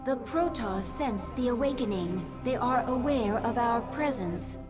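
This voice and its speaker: A solemn alien creature speaks slowly and formally over clear music. She is a devoted, reverent servant in a dramatic game cutscene, measuring out every phrase. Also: on the high side, animated. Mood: solemn, advisory.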